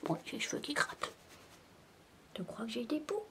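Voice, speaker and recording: A woman playing a zany, playful babysitter who coaxes a young child in a soft-spoken, whispery, silly ASMR roleplay. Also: fairly high pitch, very animated, moderate pace. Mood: silly, amused. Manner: whispery, coaxing, silly, chatty. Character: zany playful babysitter entertaining a six-year-old child.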